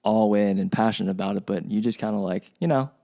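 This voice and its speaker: Male podcast co-host, mellow low voice, loose conversational delivery. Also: fast, creaky. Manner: casual, rambling, many restarts. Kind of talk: podcast interview.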